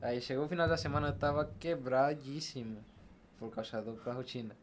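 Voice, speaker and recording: Venezuelan man, mid-pitched, with noisy background. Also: fairly even intonation, a little fast. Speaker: podcast guest. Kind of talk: casual, reflective interview talk. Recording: clear background ambient noise.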